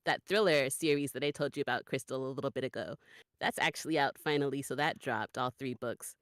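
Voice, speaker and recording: A woman's voice: extremely low pitch, a little fast, dry recording, studio quality. A woman speaking casually as a voice actor introducing herself. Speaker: voice actor, audiobook narrator. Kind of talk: casual chat.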